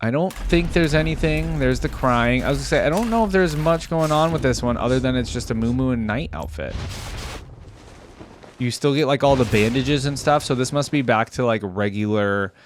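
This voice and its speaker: A man's voice: mid-range voice, normal speed, soft background music. A man giving casual, off-the-cuff reaction commentary, like a video creator talking through what he sees.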